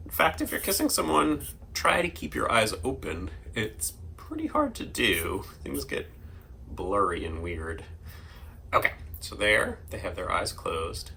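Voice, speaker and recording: A man with a bright, forward voice on the low side leads a casual drawing demonstration for kids. He explains things simply and playfully, step by step. There is faint noise behind him. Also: gravelly. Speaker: host of a children's drawing segment.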